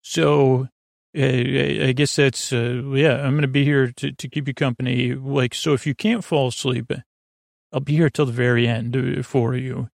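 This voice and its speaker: Soft-spoken, creaky, rambling man host. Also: mid-range voice, fairly even intonation, moderate pace, no echo, clean studio sound. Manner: meandering, many restarts and fillers, gentle and reassuring. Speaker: podcast host. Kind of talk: rambling bedtime podcast intro.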